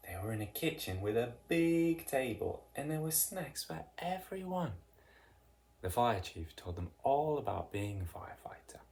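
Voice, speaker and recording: A narrator reading a children's picture book aloud in an expressive, deliberate way with dramatic emphasis. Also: mid-range voice. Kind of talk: read-aloud children's storytelling.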